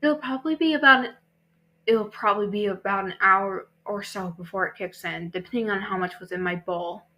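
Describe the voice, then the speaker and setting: A woman's voice: mid-range voice, fairly quick, pushed and bright, lightly acted. A woman voicing a brooding, stressed teenage boy, terse and matter-of-fact, in an amateur story reading. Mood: tense.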